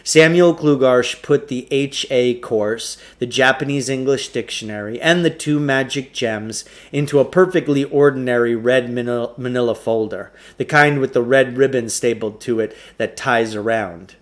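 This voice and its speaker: A man's voice: medium pitch, moderate pace, few pauses. A man reading a children's novel aloud as a storybook narrator.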